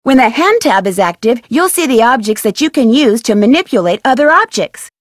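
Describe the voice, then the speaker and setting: High, lively young female detective. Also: fairly quick, no echo, studio quality. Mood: chipper, instructive. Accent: American. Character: friendly, inquisitive young female amateur detective. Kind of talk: scripted video game voice lines and tutorial narration.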